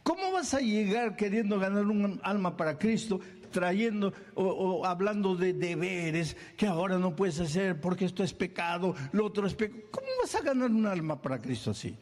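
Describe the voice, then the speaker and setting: A man preaching a sermon, animated, acting out little scenes and firing off questions. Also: high voice, moderate pace, hardly any pauses.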